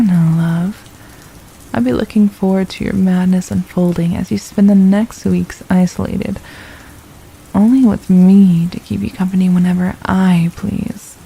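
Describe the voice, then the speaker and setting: A woman playing a possessive, condescending royal villain in an ASMR roleplay, speaking in a soft, silky, deliberate murmur with menace and mockery. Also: mid-range voice, gravelly, breathy edge, full character voice, poor audio. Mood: mocking, gloating. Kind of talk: ASMR roleplay, intimate scripted monologue.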